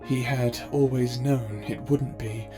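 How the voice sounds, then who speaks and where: A man's voice: mid-range voice, steady tone, slow pace, over music. A man reading a scripted story epilogue as narrator.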